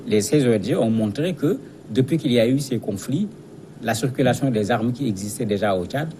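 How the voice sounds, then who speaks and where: Man, mid pitch, guest analyst, didactic explanation, repeated words while searching for phrasing, news interview, faint background noise. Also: lively intonation, fairly quick, mellow.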